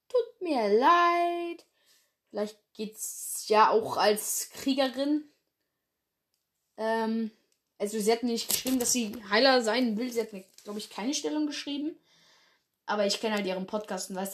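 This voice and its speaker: Giggly rambling woman, higher voice. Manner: giggly, rambling, self-deprecating. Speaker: host of a fan clan roleplay channel. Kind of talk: casual chatty vlog. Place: her bedroom.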